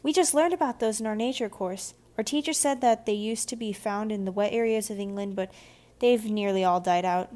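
A woman's voice: medium pitch, brisk, dark tone, natural voice. A woman voicing a kind, enthusiastic young girl in a children's audiobook, earnest and friendly. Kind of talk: children's audiobook character dialogue.

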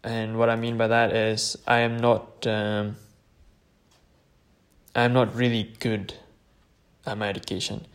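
Tired, slow-talking young man from Norway. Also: on the low side, little pitch movement. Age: late teens. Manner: rambling, hesitant, personal storytelling. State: tired, late at night. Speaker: podcast host. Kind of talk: solo podcast monologue.

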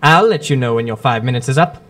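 An actor playing a shrewd, imperturbable gentleman detective in a radio drama, speaking drily and urbanely with small hesitant murmurs. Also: medium pitch, fast, natural voice. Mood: coolly defiant. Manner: urbane, dry, hesitant interjections.

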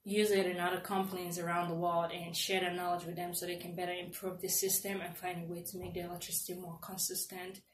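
A young woman from Nigeria, an engineering student, answering interview questions in a loose, chatty way with fillers. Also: on the low side. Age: young adult. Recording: roomy echo, faint noise.

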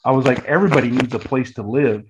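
A man's voice: mid-range voice, fast talker, muffled recording, noisy background. An American man in his early forties telling his story in a casual, conversational way, often restarting and repeating phrases mid-thought. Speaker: guest, real estate agent.

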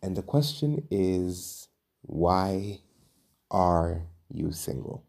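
A man's voice: deep voice, expressive, very slow, creaky, a little mellow. A young man hosting a podcast, talking through a casual monologue in a hesitant, informal, rambling way. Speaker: podcast host.

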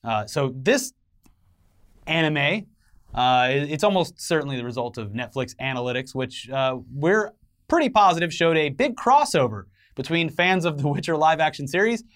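Guy with a slightly high voice doing chatty entertainment news, kinda wry and jokey. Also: lively intonation, moderate pace, a bit bright. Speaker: co-host of an entertainment news show. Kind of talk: conversational news commentary with banter.

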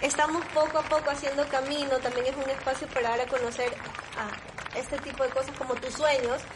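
High-voiced enthusiastic woman host. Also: fairly even intonation, brisk, noisy background. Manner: enthusiastic, encouraging. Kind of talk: casual live-show chat. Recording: music playing behind.

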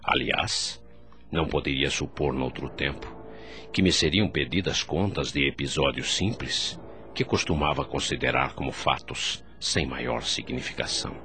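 A man's voice: a bass voice, measured pace, sharp tone, soft background music, full character voice. An actor playing a remorseful doctor spirit who narrates his own story in a radio drama, solemn, formal and introspective, with a Brazilian accent. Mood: solemn reflection.

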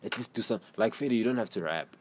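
A young South African man with a South African accent, hosting a podcast and talking in a relaxed, rambling way full of slang. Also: fairly low pitch, fast. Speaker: podcast host. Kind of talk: casual interview chat. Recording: faint background noise.

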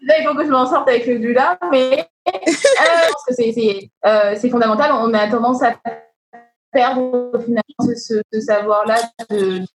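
A woman hosting an interview, asking questions slowly, with many pauses and fillers as she searches for her words. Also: a little high. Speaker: interview host. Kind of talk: conversational interview. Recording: roomy sound with faint music.

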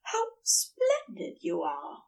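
A woman reading the lines of a doting male angel in a soft, breathy voice. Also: very high voice, huge pitch swings, takes it slowly, recorded from a distance, voice pushed up, heavily acted. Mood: tender.

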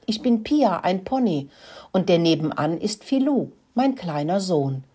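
A woman's voice: fairly low pitch, lively intonation, unhurried, dark tone, slightly rough. A woman voicing a cheerful, friendly mother pony in a children's story.

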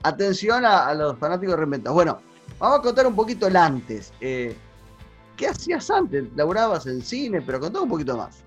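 Excitable high-voiced Rioplatense host. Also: male voice, slightly rough, faint music behind. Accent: Rioplatense Spanish. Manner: excited, emphatic, rapid asides. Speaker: podcast host. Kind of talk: enthusiastic business interview.

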